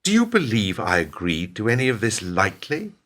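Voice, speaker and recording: A man playing a defensive company boss in a radio drama. His low voice rises and falls widely as he justifies himself, pragmatic and guarded. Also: huge pitch swings, normal speed, fairly bright tone. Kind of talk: radio play dialogue.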